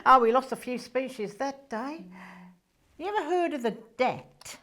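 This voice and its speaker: Breezy angel sidekick for stage comedy, high and animated, theatrical, with dramatic pauses. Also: female voice, exaggerated voice. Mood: wry storytelling. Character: breezy, fussing female angel Gabrielle, Saint Peter's sidekick. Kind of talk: comedy stage play dialogue.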